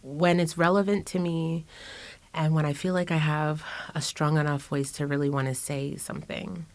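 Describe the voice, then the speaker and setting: Low-voiced woman talking reflectively. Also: little pitch movement, normal speed, a little mellow. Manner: reflective, many fillers and restarts, laughs often. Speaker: guest artist. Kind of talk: casual interview conversation.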